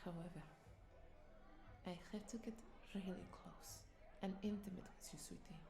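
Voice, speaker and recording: Woman doing a seductive vampire bartender, teasing n breathy, bit of fry, intimate roleplay audio w faint music. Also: medium pitch, fairly even intonation, normal speed, close to an everyday voice. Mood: coaxing, seductive. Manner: flirtatious, teasing, breathy asides dropping into whispers.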